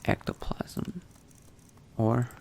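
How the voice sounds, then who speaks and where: A man narrating his role-play gameplay in character, musing to himself in an unhurried, gravelly voice. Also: a little mellow, slight background noise. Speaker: gaming video host, role-playing player.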